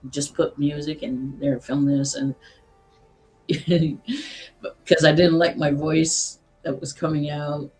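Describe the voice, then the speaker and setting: A woman with a husky smoker's voice, chatting slowly as a guest on an online call and laughing between halting phrases. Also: low-pitched, little pitch movement, a little mellow, faint music behind, poor audio. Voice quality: husky, says she has a smoker's voice. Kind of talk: casual chat.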